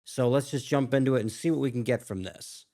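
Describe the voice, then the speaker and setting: A man giving casual, halting running commentary as he reacts to video game cutscenes. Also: medium pitch, warm tone. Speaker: gaming reaction host. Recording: game soundtrack playing behind.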